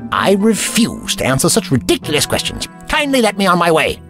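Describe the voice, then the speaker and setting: An actor playing a fussy, indignant elderly time traveller with a prim, pompous manner in an audio drama. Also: male voice, sits high, huge pitch swings, a little fast, vocal fry, exaggerated voice. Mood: defiant. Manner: pompous, prim, old-fashioned wording. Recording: music under the voice.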